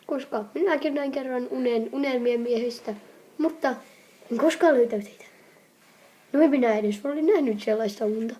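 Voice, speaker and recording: A woman's voice: a little high, warm tone, lightly acted. Woman acting old grandpa, muddled, indulgent, rambling, comedy cartoon fandub. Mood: musing, indulgent. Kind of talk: hobby comedy anime fandub.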